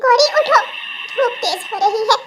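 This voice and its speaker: A woman's voice: very high voice, measured pace, heavy background noise, pitched above the performer's own voice. A woman voicing a hardworking, scolding female bird in a children's cartoon. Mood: annoyed.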